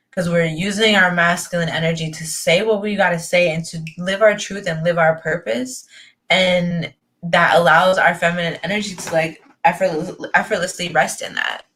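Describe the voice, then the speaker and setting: Young woman, reflective and meandering, slightly low voice. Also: fairly bright tone. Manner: thoughtful, rambling, heavy on fillers like "like" and "you know". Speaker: spiritual coaching guest or host. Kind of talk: reflective personal talk.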